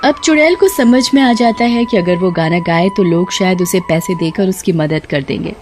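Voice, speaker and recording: A woman's voice: lowish voice, normal speed, music in the background. A woman narrating a scripted tale as a storyteller.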